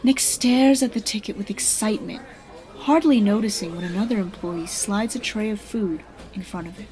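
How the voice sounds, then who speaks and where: A woman narrating a radio drama from a script in a husky, breathy voice. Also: medium pitch, normal speed, a little mellow.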